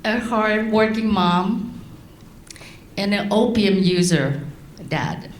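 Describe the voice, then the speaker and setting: A middle-aged woman from Laos, raised in France, giving a prepared speech to an audience in slow, deliberate phrases. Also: medium pitch, echoey room, slight background noise. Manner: slow, deliberate delivery with long pauses. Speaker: guest speaker.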